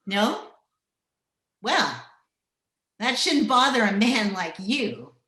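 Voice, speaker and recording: A spiteful old frontier widow, acted by a woman, heard in a roomy space. She drawls slowly in rustic phrases, with taunts that swing widely in pitch. The character is cold, bitter and mocking, straight out of a radio western. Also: sing-song, pushed and bright, exaggerated voice. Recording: roomy sound, recorded over internet call.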